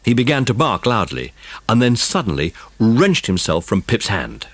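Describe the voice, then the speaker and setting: A man's voice: on the low side, wildly swooping pitch, moderate pace, husky, no room sound, heavily acted. A man narrating a dramatised children's storybook. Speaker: audiobook narrator.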